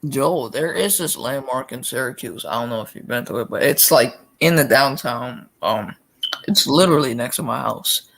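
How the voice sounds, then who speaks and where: Young dude, bright sharp voice, jumps all over, teasing and playful. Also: male voice, mid-range voice, lively intonation, normal speed, creaky. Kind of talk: casual chat on a live call.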